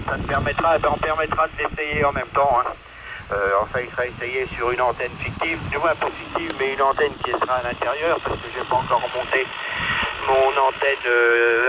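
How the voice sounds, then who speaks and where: A man in a casual amateur radio chat, talking in a hesitant, rambling way. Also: medium pitch. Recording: very noisy radio transmission, loud engine-like drone.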